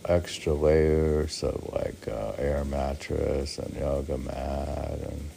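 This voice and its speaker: A man's voice: extremely low pitch, vocal fry, warm tone, a little hiss or hum. A man reading his own poems aloud with rambling, musing off-the-cuff commentary, often asking and answering his own questions. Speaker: poet reading own work.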